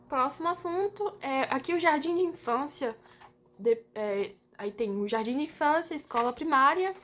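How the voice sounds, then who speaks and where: A young woman with a Brazilian Portuguese accent talks to her camera in a loose, chatty way, full of fillers and asides. Her voice sits a little high for a woman. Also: a bit bright. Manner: chatty, informal, lots of fillers, reads from a script. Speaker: vlogger. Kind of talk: casual chat to camera.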